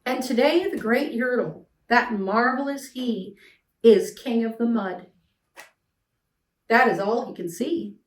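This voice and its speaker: A middle-aged woman reading a rhyming children's picture book aloud as an expressive storyteller. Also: a little high, slow. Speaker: storyteller, read-aloud host. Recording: echoey room, distant mic.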